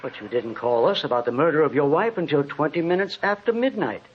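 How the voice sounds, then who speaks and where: A man's voice: fairly high pitch, fairly quick, breathy edge. An actor playing a curt, skeptical policeman in a 1940s radio drama, questioning in a clipped, commanding way. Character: curt, skeptical police officer interrogating a suspect. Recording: muffled vintage radio recording.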